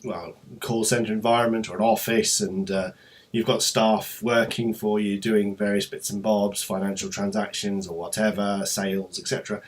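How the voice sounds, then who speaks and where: A man presenting an informal, unscripted company explainer vlog, talking in a hesitant, rambling way with trailing asides. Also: lowish voice.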